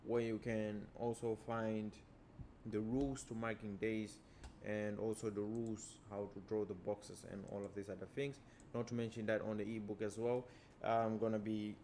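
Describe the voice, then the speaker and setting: Low-voiced man walking through trading charts. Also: a little hiss or hum. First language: Portuguese. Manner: relaxed, repeats stock phrases like "obviously" and "let us dive straight to the charts". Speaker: trading educator. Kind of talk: casual chart breakdown lesson.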